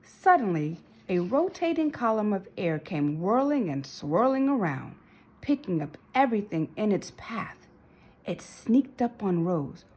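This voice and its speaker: Female narrator for kids' picture book: highly animated pitch, medium register, a touch of rasp, emphatic and dramatic. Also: measured pace. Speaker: storybook narrator. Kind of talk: expressive children's read-aloud.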